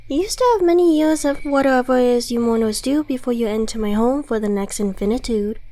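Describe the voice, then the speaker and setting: A woman's voice: on the high side, fairly quick, mellow, lightly acted. A woman playing an ancient devil in a scripted ASMR roleplay, theatrical, articulate and condescending, mockingly friendly with menace underneath. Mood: quietly menacing. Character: suave, gloating devil, centuries old, mockingly friendly, menacing.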